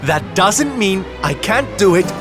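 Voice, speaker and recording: Camp theatrical showman from a game, gushing, swoopy unhurried delivery, music behind. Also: high-pitched, very animated. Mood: earnest, elated. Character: flamboyant, theatrical, big-hearted male circus performer. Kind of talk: video game cutscene acting.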